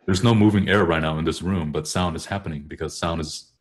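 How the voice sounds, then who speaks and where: A man's voice: sits low, steady tone, a little fast. A man explaining vocal technique casually, often rephrasing himself as he goes. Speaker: choral bass singer discussing vocal technique.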